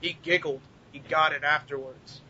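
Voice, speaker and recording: A man's voice: on the high side, steady tone, normal speed, pushed and bright. A man co-hosting a casual podcast, defensive and snarky, swearing and stammering over his repeats. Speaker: podcast co-host. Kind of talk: casual banter. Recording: muffled noisy internet call recording.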